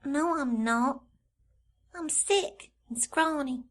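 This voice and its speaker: A sickly little filly in an audio drama. The voice is extremely high and slow, shy, timid and childlike. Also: female voice. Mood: shy, innocent. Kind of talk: audio drama character acting.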